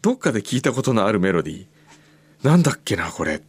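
Man, radio host, animated intonation, casual chat and letter reading, close dry studio sound. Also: on the low side, quick pace, slightly rough, dry recording. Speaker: radio show host reading listener messages.

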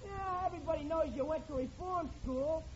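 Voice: high-pitched, brisk, close to an everyday voice. A performer playing a cocky, scornful neighborhood boy ballplayer in a 1940s radio comedy. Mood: taunting. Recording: muffled old radio broadcast with hiss.

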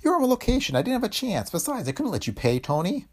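A man's voice: high voice, wildly swooping pitch, fast talker, darkish tone, breathy edge, pitched above the performer's own voice, heavily acted. A man voicing a plump, miserable middle-aged studio employee in an old science fiction story, pleading and choked with guilt. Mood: worried, despairing. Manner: pleading, self-blaming.

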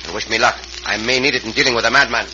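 An actor playing a gallant, commanding Mexican cowboy hero in an old radio western, with a Mexican accent and formal phrasing. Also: male voice, medium pitch, steady tone, close to an everyday voice. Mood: resolute. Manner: formal, deliberate word choice without contractions. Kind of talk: 1950s western radio acting. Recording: muffled, hissy old radio recording.

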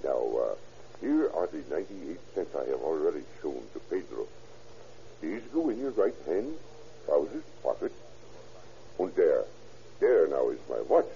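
A cackling German mad doctor. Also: male voice, extremely low pitch, big pitch swings, moderate pace, long pauses, warm tone, exaggerated voice. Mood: gloating. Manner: gloating, coaxing, cackling. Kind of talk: 1940s radio acting. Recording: muffled old radio recording with faint hiss.

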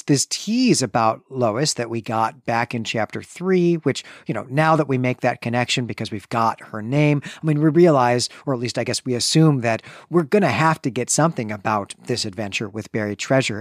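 A man's voice: mid-range voice, no room sound, studio quality. A man co-hosting a literary podcast, recapping the plot casually and adding asides along the way. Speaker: podcast co-host.

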